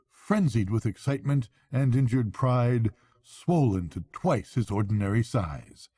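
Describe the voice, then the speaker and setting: An older man narrates a children's bedtime story. He takes his time, letting his pitch rise and fall widely, in a close, dry recording. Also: dark tone, dry recording. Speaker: grandfather reading a bedtime story.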